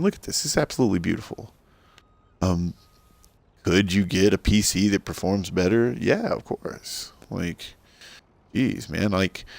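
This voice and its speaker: A middle-aged man with a rough smoker's voice, giving easygoing, rambling commentary to viewers while playing a farming game. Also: sits low, no room sound. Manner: rambling, easygoing, self-deprecating. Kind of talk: casual gameplay commentary to viewers.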